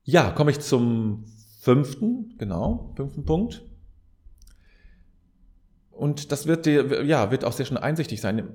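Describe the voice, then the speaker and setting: A man's voice: medium pitch, big pitch swings, slow, pauses often, warm tone. A man giving a reflective advice monologue as a podcast host, speaking straight to the listener in an earnest, preacher-like way and repeating key phrases for emphasis.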